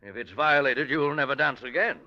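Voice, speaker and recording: An actor playing a smooth, firm male ballet company manager in an old radio drama. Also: mid-range voice, a little fast, close to an everyday voice. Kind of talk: 1940s radio acting. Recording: muffled old radio recording.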